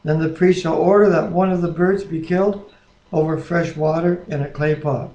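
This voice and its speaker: A man's voice: fairly high pitch, steady tone, moderate pace, darkish tone, dull audio. A man in casual devotional talk and scripture reading, speaking in an earnest, plain-spoken way as a co-host, recorded on a webcam microphone. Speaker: co-host reading the Bible aloud. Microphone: webcam microphone at a distance. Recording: echoey room on a webcam microphone.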